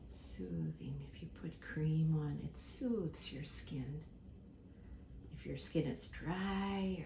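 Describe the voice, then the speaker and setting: A woman giving a simple lesson to young children, speaking deliberately, repeating key words and acting out examples. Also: lowish voice. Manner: animated, acts out examples with props. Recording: echoey room with faint background noise.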